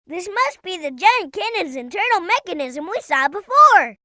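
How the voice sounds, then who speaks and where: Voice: sing-song, normal speed, pushed and bright, dry recording, studio quality, exaggerated voice. A performer voicing an earnest, eager little boy fox sidekick in a video game, with a childlike voice. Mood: curious. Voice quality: high, childlike.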